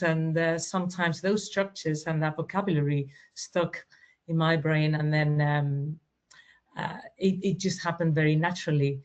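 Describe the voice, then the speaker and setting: A native Spanish-speaking woman giving a reflective, halting personal account as a webinar panelist, in conversational style. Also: low voice. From Spain or Spanish-speaking country. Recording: dull, lo-fi online call audio.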